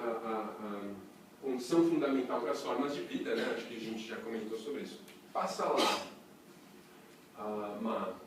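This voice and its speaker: A man with a Brazilian Portuguese accent teaching a breathing workshop in a chatty, lively way, throwing rhetorical questions to a small group. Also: medium pitch, slight background noise. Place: workshop room with a small audience. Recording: echoey room, voice from a distance.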